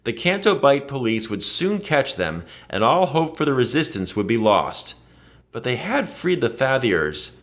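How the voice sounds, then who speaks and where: A man narrates a children's storybook with a very lively, swooping intonation. His voice sits at a medium pitch. He leans into the drama with emphatic delivery, as in an expressive read-aloud. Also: measured pace.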